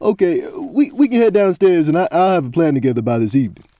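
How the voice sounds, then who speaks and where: A man's voice: a little high, very animated, fast, warm tone, no echo. A man playing a nervous, polite, scaly reptilian priest who stammers and talks in a folksy way in a fantasy audio drama. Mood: scared, evasive. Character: nervous, evasive, polite male reptilian priest with scales and tail.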